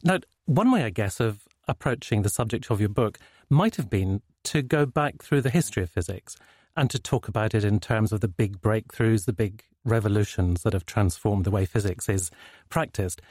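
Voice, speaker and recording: A man's voice: fairly low pitch, animated, moderate pace, darkish tone, dry recording. Male interviewer, dry studio-like sound. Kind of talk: considered interview questions.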